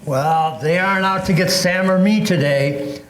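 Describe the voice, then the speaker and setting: A man's voice: sits high, measured pace. An older man in an amateur play reading, playing a droll, grumbling husband with wry comic timing. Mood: casual, amused. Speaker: amateur readers theatre performer. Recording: echoey room, faint background noise.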